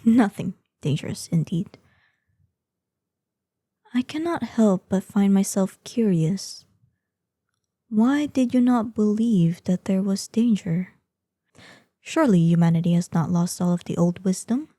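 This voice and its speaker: A woman's voice: mid-range voice, lively intonation, dark tone, no room sound, studio quality. A woman playing a proud, ancient female dragon in human form, speaking formally and imperiously in a scripted fantasy audio roleplay. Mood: curious, admonishing. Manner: imperious, formal archaic diction, measured.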